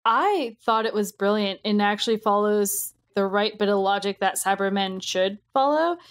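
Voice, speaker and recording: A woman co-hosting a podcast in relaxed, conversational discussion. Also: medium pitch, normal speed. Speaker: podcast co-host.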